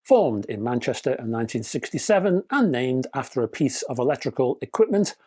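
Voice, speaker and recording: Guy doing a hi-fi news roundup, mid-pitched, dry wry jokes between specs, chatty with the folks watching. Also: sing-song, fairly quick, darkish tone, husky. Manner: dry, wry humor between spec readouts; chatty, addresses viewers as folks. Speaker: hi-fi news channel host. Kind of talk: scripted news roundup with asides.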